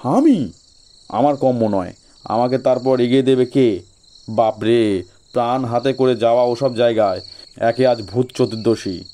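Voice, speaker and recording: A man playing a sly local gentleman in an audio story, giving ominous ghost warnings with feigned reluctance. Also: mid-range voice, unhurried, long pauses, close to an everyday voice. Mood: dismissive, wary.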